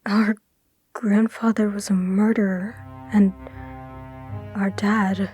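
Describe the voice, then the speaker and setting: A woman's voice: medium pitch, level tone, takes it slowly, darkish tone, faint music behind, close to an everyday voice. A woman acting in an audio drama as a blunt, exasperated relative, confrontational and insistent. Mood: exasperated, accusing. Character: blunt, exasperated woman exposing her father's crimes.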